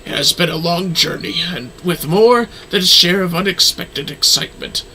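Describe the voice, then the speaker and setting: A man's voice: high voice, moderate pace, sharp tone, a little hiss or hum, poor audio. A man voicing an elderly, weary, wryly jesting astrologer from a fantasy game in formal, theatrical phrasing.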